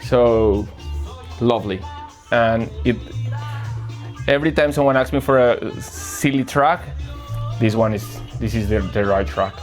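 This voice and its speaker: A man talks casually and earnestly about records as a guest selector. His voice sits at a middling pitch, and he often pauses to find the right word. Soft music plays quietly beneath him. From Colombia. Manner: earnest, pauses to search for words. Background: faint music playing.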